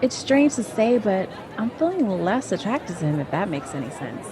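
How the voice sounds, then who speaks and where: A woman's voice: mid-range voice, sing-song, normal speed, lightly acted. An actress in an audio drama playing a candid, chatty career woman confiding in her friends. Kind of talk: contemporary audio drama acting. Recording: crowd and outdoor urban ambience behind.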